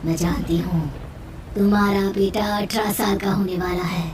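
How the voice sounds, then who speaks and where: A woman's voice: mid-range voice, fairly even intonation, normal speed, darkish tone, roomy sound, recorded from a distance, a little hiss or hum, lightly acted. Woman putting on a menacing, commanding witch. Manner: threatening, commanding. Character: menacing female witch threatening a village. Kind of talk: horror storybook acting.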